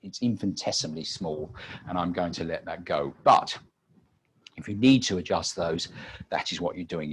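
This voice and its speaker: A British man giving an unscripted technical demonstration as a webinar presenter, halting often with repeated words and filled pauses. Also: a bass voice, slightly rough. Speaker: webinar presenter and audio engineer.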